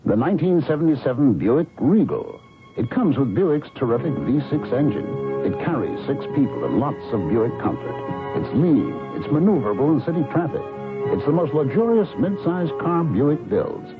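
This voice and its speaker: A man announces a vintage radio commercial with lively pitch movement and polished salesmanship. The recording is dull, with music underneath. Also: normal speed. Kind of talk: 1970s radio ad read.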